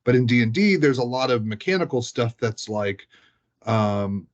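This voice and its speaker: A man's voice: mid-range voice, animated, moderate pace. A man chatting casually as he recounts tabletop game sessions, rambling and peppering his talk with "like". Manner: rambling, frequent "like" as filler. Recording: dull, lo-fi sound.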